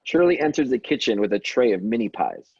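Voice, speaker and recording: A man narrating stage directions at a table read, in dull low-fidelity sound. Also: lively intonation, a little fast, a little mellow, slightly rough, no room sound. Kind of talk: reading screenplay directions aloud.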